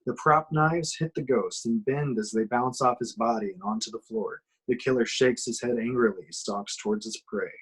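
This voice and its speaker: A man's voice: mid-range voice, normal speed, hardly any pauses, slightly rough, rough recording. A man reading scripted action descriptions from a screenplay at an amateur table read.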